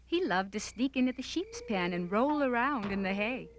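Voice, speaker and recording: A woman's voice: a little high, big pitch swings, moderate pace, slight background noise. A woman narrating a scripted children's cartoon story.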